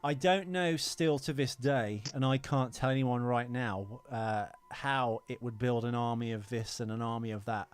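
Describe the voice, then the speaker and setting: A man's voice: medium pitch, normal speed, hardly any pauses, clear, resonant tone. A British man co-hosting a podcast in casual film-review chat, rambling, opinionated and prone to swearing. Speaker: podcast co-host.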